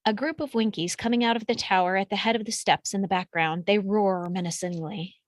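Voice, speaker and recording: A woman's voice: mid-range voice, fairly quick, no room sound. A woman reading out screenplay action descriptions as scripted narration. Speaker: stage directions reader.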